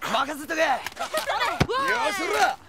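Hot-headed, high-shouting young yakuza. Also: male voice, expressive, slow pace, heavily acted. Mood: fired up. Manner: rough, confrontational shouting. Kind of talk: shouted video game cutscene acting.

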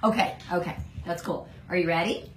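A middle-aged woman gently encouraging and instructing a young child, like a therapist showing how a device works. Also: lowish voice, a little fast. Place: small indoor clinic room. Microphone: handheld phone camera at short distance. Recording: roomy, noisy phone video recording.

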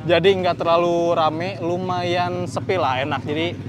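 A young man hosting a casual motorcycle vlog, excitable and full of slang, talking into a handheld mic outdoors at a race circuit. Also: high-pitched, measured pace. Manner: excitable, slangy, lots of exclamations. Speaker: motovlogger host. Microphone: handheld mic, camera close. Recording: noisy outdoor circuit with engines.

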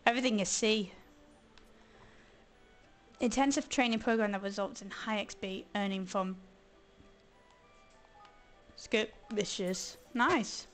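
A hoarse woman chatting casually as she streams a video game, thinking aloud and grumbling playfully. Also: mid-range voice, fairly even intonation, slow pace, long pauses, faint music behind. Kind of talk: casual let's-play commentary.

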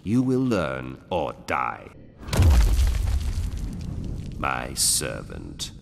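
An actor playing an arrogant fallen elder god, a haughty, menacing dark lord in a video game. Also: male voice, extremely low pitch, huge pitch swings, gravelly, noisy background, heavily acted. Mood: imperious. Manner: slow, haughty, menacing pronouncements. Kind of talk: video game villain voice acting.

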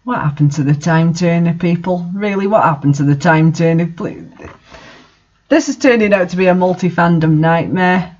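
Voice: mid-range voice, normal speed, darkish tone, highs cut off. A host reading fan fiction aloud in an amateur dramatic style, with the odd aside.

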